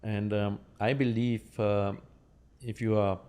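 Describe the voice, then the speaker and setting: Podcast guest: German-accented man, low relaxed voice, halting conversational explainer who checks that the listener follows. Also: smooth.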